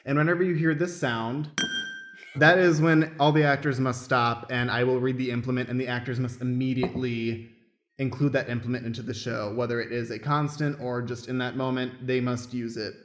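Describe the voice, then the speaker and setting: A man's voice: medium pitch, little pitch movement, brisk, a little hiss or hum. A man casually hosting and explaining the rules as stage manager of an improvised radio play. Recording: roomy, echoing room sound.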